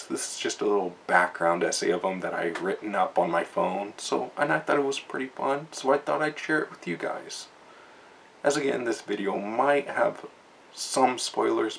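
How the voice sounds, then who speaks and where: A man's voice: mid-range voice, lively intonation, moderate pace, creaky, fairly bright tone, a little hiss or hum. A young man giving a casual, rambling book review from his notes, with many fillers and restarts.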